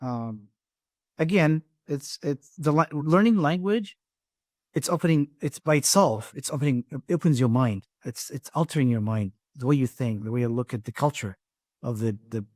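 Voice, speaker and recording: A middle-aged man with an Iraqi Arabic accent, a guest on a podcast interview, talking haltingly with many restarts and repeated words. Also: medium pitch, dry recording, clean studio sound. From Iraq. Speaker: guest, engineering firm president.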